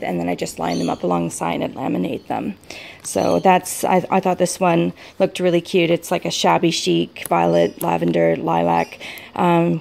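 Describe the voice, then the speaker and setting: An American woman casually talking through a show-and-tell, rambling a little hesitantly, with gentle enthusiasm for small details. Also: fairly low pitch, gravelly. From United States. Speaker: vlogger showing a planner setup. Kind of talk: casual product walk-through.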